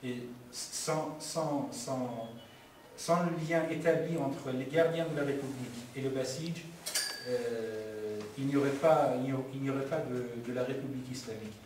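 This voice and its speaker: A man from Iran whose first language is Persian, speaking at a public film debate, halting and full of restarts and fillers. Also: mid-range voice. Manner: hesitant, many restarts and fillers. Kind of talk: halting public talk, answering questions. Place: community meeting hall. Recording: very echoey hall, far from mic, faint noise.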